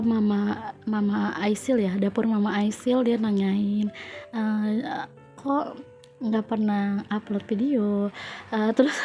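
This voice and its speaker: A woman's voice: mid-range voice, lo-fi sound. A young woman vlogger with a stuffy nose from a cold, chatting casually to her viewers over a home budgeting voice-over, with many fillers. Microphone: phone.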